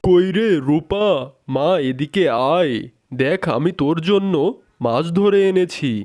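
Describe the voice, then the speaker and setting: A man's voice: high voice, takes it slowly, a little mellow. A man voicing a doting, teasing, poor fisherman father in a cartoon. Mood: pleased. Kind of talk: cartoon character dialogue.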